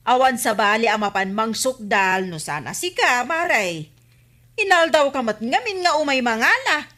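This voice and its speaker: A woman playing a sharp-tongued, scolding village woman in an Ilocano comedy radio drama, argumentative and exasperated, with an Ilocano accent. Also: fairly high pitch, expressive, moderate pace. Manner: nagging, argumentative.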